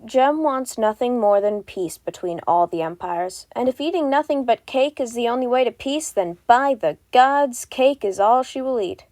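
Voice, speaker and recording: Mellow, soft-toned woman narrating a story. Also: fairly high pitch, animated, moderate pace, hardly any pauses, no echo. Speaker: podfic narrator.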